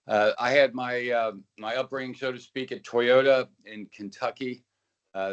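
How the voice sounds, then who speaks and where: An older man speaking as a keynote guest on a webinar call, measured and thoughtful with frequent pauses. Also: lowish voice. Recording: dull, muffled call audio.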